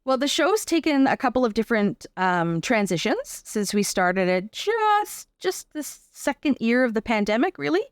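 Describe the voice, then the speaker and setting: A woman's voice: fairly high pitch, very animated, normal speed, hardly any pauses, dry recording, studio quality. A Canadian woman talking as an interview guest, explaining her work in a chatty, conversational way and often checking in with the listener. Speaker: interview guest, artist and arts accessibility advocate.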